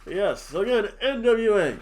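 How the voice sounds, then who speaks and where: A slightly high-voiced man from New Jersey, stuffed up and nasal, talks loosely and jokily. He rambles between thoughts, the way a relaxed podcast co-host does. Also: normal speed. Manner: rambling, joking, drinking while talking. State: nasal and sniffly from allergies. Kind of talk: casual chat.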